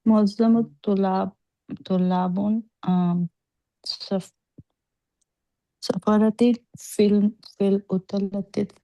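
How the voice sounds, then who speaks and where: Slow, hesitant woman student, dark voice. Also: mid-range voice, steady tone, dry recording, poor audio. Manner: hesitant, thinking aloud with hums. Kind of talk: online class answers.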